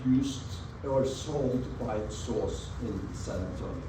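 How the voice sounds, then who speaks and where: A man from Norway with a Norwegian accent, giving an earnest, measured presentation over slides at a community meeting and stressing key words. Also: on the low side. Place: meeting room with an audience. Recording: echoey meeting room, mic at a distance, audible background noise.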